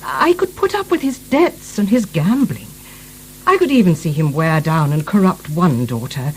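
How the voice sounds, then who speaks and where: Low, dramatic, scheming aristocratic matriarch. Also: female voice, sing-song, moderate pace, poor audio. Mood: aggrieved. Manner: theatrical, falsely pious, wounded dignity. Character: ageing, manipulative, sanctimonious aristocratic French mother-in-law. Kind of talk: radio drama acting. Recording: faint hiss, 1990 radio broadcast.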